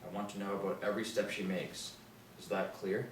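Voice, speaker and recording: A man's voice: sits low, level tone, brisk, lightly acted. A man reading the part of a curt, commanding FBI boss at a table read of a TV pilot. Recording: echoey room, distant mic, faint noise.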